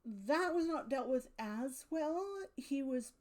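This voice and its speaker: A woman's voice: high voice, animated, slow, a little mellow. A woman giving casual, unscripted commentary as a video host, thinking aloud with wry asides. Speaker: video host reviewing old radio drama.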